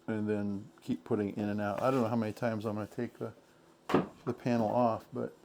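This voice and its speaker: A man talking through a do-it-yourself boat project as he works, thinking aloud in halting, self-correcting bits. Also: fairly low pitch, dark tone, slight background noise. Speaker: boat owner doing a DIY project. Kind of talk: casual DIY narration while working.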